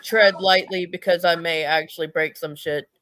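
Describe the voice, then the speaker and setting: A woman's voice: on the low side, fairly even intonation, normal speed, crowd noise. A woman chatting casually as a talk show panelist, blunt and swearing freely.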